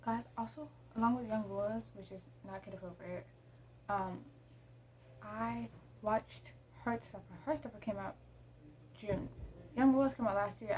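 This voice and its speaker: A woman's voice: mid-range voice, dark tone, a little hiss or hum, poor audio. A teenage girl vlogging at home, chatting casually to her camera, rambling with lots of ums. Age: teens. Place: room at home.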